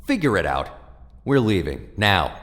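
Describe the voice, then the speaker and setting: A man's voice: deep voice, very animated, unhurried, a bit bright, full character voice. A man playing a stern, protective father in an audio drama, blunt and commanding. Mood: angry, firm. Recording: long hall reverb.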